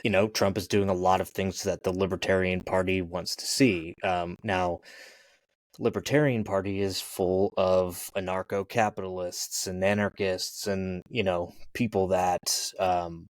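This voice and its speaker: A man's voice: low-pitched, fairly even intonation, unhurried, dry recording. A man co-hosting a podcast, talking casually about politics in a rambling, hedging way. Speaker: podcast co-host.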